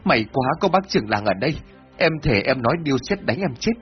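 A man voicing a panicked, out-of-breath village wife with a very high, strained voice. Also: big pitch swings, quick pace, close to an everyday voice. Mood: tearful. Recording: dull lo-fi with faint music.

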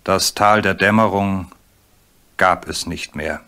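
A man narrating a radio play story in a measured, literary manner. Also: low voice, sharp tone.

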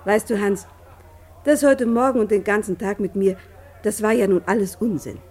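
A woman's voice: mid-range voice, normal speed, a little mellow, breathy edge, lightly acted. An actress playing a tender, fussing, unsuspecting middle-aged mother in a German radio play. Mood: chatty, puzzled. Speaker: actor in a radio drama.

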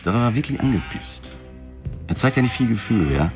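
A man's voice: medium pitch, animated, fairly quick, lightly acted. An actor playing a laid-back bar-owner buddy in a German radio drama, a man talking in casual, teasing slang. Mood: chatty, frank. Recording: music behind the voice.

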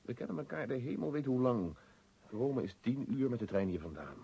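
Impatient young man, animated delivery. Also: medium pitch, brisk, creaky, husky, highs cut off. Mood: exasperated. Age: young adult. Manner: exasperated, dismissive. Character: impatient young man soothing his anxious fiancée. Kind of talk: radio play acting. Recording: old radio recording with faint noise.

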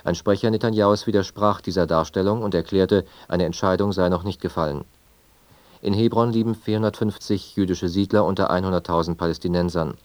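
A man reading a scripted radio news and weather bulletin in standard German from Germany, formal and measured. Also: low-pitched, fairly even intonation, darkish tone, no echo. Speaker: radio newsreader.

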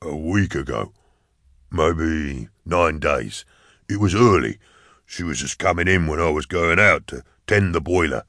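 A male narrator performs an old, overweight caretaker for an audiobook. The voice is low and gruff, that of a man in his sixties who once soldiered and still guards his floor. The sound is dry, with no room around it. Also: lively intonation, unhurried, fairly bright tone, no room sound, exaggerated voice. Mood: chatty, respectful. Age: 60s. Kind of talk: audiobook character dialogue.

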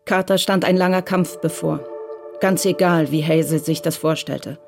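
A narrator reading a crime radio play in a measured, even way. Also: mid-range voice, gravelly, over music. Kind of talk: scripted narration.

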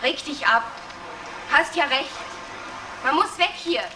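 Shrill, defiant young streetwise woman. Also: slow pace. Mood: bitter, resigned. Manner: coarse slangy street talk. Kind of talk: gritty radio drama acting. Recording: distant, over loud background noise.

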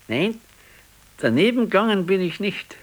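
Old bavarian grandpa in a radio play, sickly and wistful, kinda high voice, goes up and down a lot. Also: male voice, sing-song, normal speed, low-quality recording. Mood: defensive, sheepish. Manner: plain colloquial musing in short phrases. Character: sickly, wistful grandfather in his sixties, quietly stubborn. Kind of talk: naturalistic radio play dialogue.

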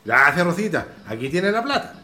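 Radio drama: old rural Chilean peasant father played by a man, proud, affectionate, lively swooping pitch, music underneath. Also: a little fast, full character voice. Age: older.

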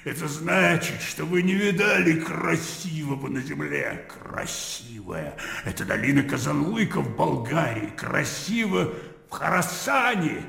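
Garrulous old army colonel, sardonic and very gravelly, swinging widely in pitch, radio play. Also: male voice, expressive, measured pace, bright, forceful tone, full character voice. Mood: blunt, sardonic. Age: older. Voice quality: gravelly, booming. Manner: blustery, rambling, punctuated with interjections. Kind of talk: theatrical radio drama acting. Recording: echoey hall with long reverb, music behind.

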